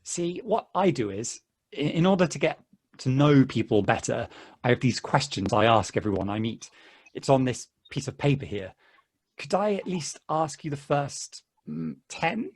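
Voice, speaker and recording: A man's voice: medium pitch, big pitch swings, husky. Man acting an earnest, friendly, chatty hiker. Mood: earnest, pleading. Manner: halting, polite, chuckly, eager. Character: earnest, chatty, friendly male hiker, eager to befriend everyone. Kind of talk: stage play table read.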